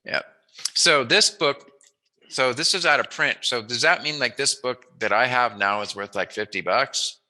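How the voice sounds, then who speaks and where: A man's voice: medium pitch, moderate pace, pushed and bright. A man hosting a casual chat interview, talking in a playful, jokey, musing way. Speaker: interviewer, host.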